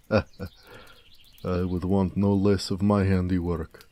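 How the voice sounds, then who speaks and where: A man playing a proud, gentle orc blacksmith in an intimate, affectionate audio roleplay, speaking tenderly and modestly. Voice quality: deep, mellow.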